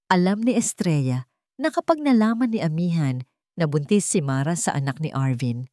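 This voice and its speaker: A woman with a Filipino accent narrates a dramatic scripted story with emotive, deliberate phrasing. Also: on the low side, mellow, no room sound, clean studio sound.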